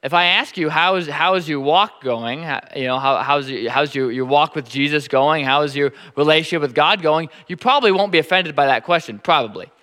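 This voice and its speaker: A man in his thirties with a Nebraskan accent, preaching a sermon in a halting, informal way that repeats words often. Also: on the high side, pushed and bright, long reverb tail. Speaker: pastor.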